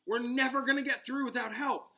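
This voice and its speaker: A man's voice: very high-pitched, fairly even intonation, a little fast, sharp tone, pitched above the performer's own voice, heavily acted. A man reading a storybook aloud, voicing a frightened, urgent teenage witch girl with breathless, pleading delivery.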